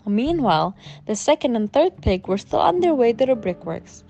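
A woman's voice: a little high, very animated, moderate pace, dark tone. A woman storyteller reading a children's bedtime story aloud.

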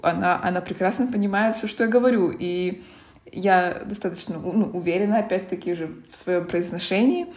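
A woman's voice: lowish voice, brisk, darkish tone. Russian woman musing on a podcast. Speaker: podcast co-host. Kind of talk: casual podcast chat.

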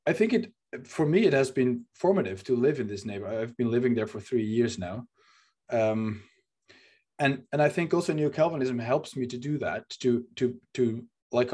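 Brief: Dutch-accented male host, earnest and searching, mid pitch, many fillers. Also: warm tone. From Netherlands. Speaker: podcast host. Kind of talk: reflective podcast discussion.

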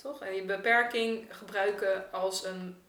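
A woman's voice: mid-range voice, steady tone, unhurried, a bit bright. A woman in casual, reflective conversation, hesitantly searching for her words and restarting phrases. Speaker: artist, podcast participant. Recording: echoey room, distant from mic.

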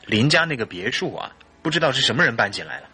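An actor playing a mild-mannered, troubled husband in a Mandarin radio drama. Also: male voice, mid-range voice, big pitch swings, quick pace, sharp tone, breathy edge, soft background music, heavily acted. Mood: polite.

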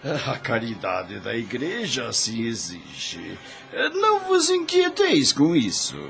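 Slow, creaky, sly abbot. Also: male voice, mid-range voice, wildly swooping pitch, a bit bright, faint music behind, full character voice. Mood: sly, greedy. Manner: halting, drawn-out hesitations and chuckles. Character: greedy old French abbot, oily and sly. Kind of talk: radio soap opera acting.

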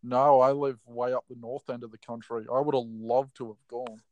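A man's voice: mid-range voice, little pitch movement, moderate pace, darkish tone, no echo. An Australian man talks casually as a podcast guest, spinning stories with ease. His voice sits in the middle range and sounds dry and close. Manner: chatty, tells stories readily. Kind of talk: casual chat.

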